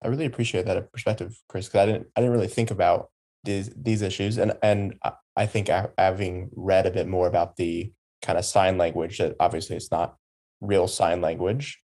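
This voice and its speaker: A man's voice: sits low, fairly even intonation, moderate pace, dark tone. A man on a podcast discussing a film, speaking in an earnest, conversational way. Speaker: podcast guest. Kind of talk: casual film review discussion.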